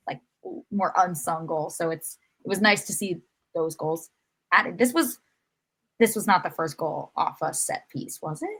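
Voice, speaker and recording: A woman's voice: fairly low pitch, fairly bright tone. A woman co-hosting a podcast, giving casual, conversational soccer analysis. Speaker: podcast co-host.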